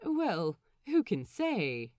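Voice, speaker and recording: A woman reading comic fan fiction aloud as an expressive, dramatic storyteller. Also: mid-range voice, very slow, no room sound, clean studio sound. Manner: animated, dramatic delivery. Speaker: podfic narrator.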